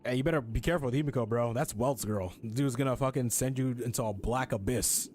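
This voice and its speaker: A man's voice: medium pitch, fast, a little mellow, slight background noise. A young man giving casual gameplay commentary in a chatty, slangy, sweary way. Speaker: game streamer.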